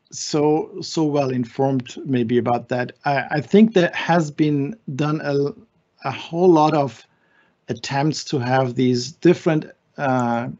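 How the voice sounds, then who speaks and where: Older doctor guy on a video call from his study, mid pitch, muffled audio, lots of uh and restarts. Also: a little mellow. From Germany, raised in Brazil. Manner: thoughtful, with frequent fillers and restarts. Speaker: physician guest speaker. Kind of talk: answering questions in an online seminar. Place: home study with bookshelves. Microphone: video call webcam.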